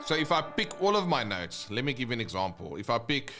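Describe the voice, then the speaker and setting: A man with a South African accent teaching guitar techniques, explaining step by step in a relaxed way between demonstrations. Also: medium pitch, quick pace. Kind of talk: instructional talk with guitar demonstrations. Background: his own guitar playing between phrases.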